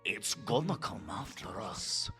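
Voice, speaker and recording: A man's voice: fairly low pitch, sing-song, takes it slowly, sharp tone, soft background music, full character voice. A man voicing a desperate, upset game character in an amateur imitation, drawing out his warnings. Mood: hushed dread.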